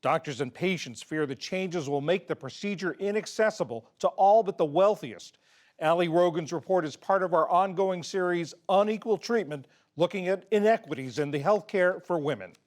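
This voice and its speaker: A man anchoring the news with a crisp, scripted introduction. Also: high-pitched, normal speed. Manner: crisp broadcast delivery.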